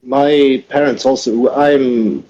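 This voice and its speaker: A man in his thirties from Sweden, a podcast guest talking plainly and in a relaxed way. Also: medium pitch, slow pace, highs cut off, slight background noise. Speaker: guest band member. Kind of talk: casual podcast chat.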